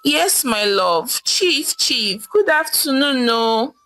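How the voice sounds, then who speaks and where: A woman's voice: on the high side, lively intonation, moderate pace, pushed and bright, faint music behind, voice pushed up, rough recording. A woman voicing a carefree wife with a Nigerian accent, nonchalant and teasing. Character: carefree wife enjoying her husband's absence.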